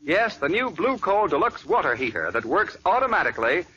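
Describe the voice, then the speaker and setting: Old-time radio ad guy, smooth and persuasive, lively pitch, muffled broadcast sound. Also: a little fast. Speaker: radio announcer. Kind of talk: 1940s radio commercial.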